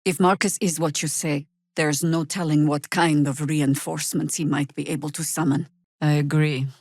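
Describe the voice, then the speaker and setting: A woman's voice: sits low, steady tone, moderate pace, a little mellow, dry recording. A woman voicing a seasoned, wry, battle-hardened druid veteran in a fantasy video game, speaking in a dry, world-weary, commanding way. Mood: earnest.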